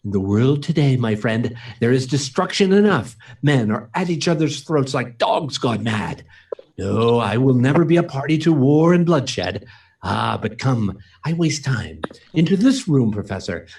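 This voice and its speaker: A man's voice: medium pitch, expressive, unhurried, a little mellow. A man acting an earnest, principled scientist-inventor in an old-time radio play, speaking in a formal, emphatic, theatrical way. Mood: earnest conviction.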